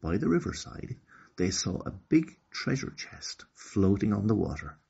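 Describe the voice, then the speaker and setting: A man narrating a children's story as an expressive storyteller. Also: on the low side, measured pace, creaky, breathy edge, dull audio.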